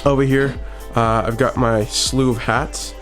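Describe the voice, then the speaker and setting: Brief: young adult male vlogger, middle-pitched, casual and rambling, small home office, faint guitar music behind. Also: steady tone, unhurried, fairly bright tone. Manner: rambling and upbeat, lots of fillers and restarts. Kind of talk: casual vlog studio tour.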